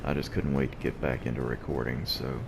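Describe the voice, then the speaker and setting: Male let's play host: very deep, fry-heavy voice, kept quiet and hushed; noisy recording. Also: fairly even intonation, brisk. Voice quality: deep and low. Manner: quiet, hushed so as not to wake the house. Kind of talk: gameplay commentary. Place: at home early in the morning. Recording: noisy background hiss.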